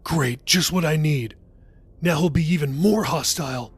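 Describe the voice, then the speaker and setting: A man's voice: on the high side, normal speed, slightly rough, no room sound. Man acting an exasperated, anxious young hero's thoughts. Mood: worried.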